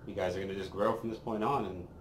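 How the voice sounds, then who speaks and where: A man's voice: fairly even intonation, quick pace. A young man appearing as a guest, chatting in a laid-back way with short replies on an outdoor patio. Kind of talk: casual chat. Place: outdoor patio by a brick wall. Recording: roomy sound with clear background rumble.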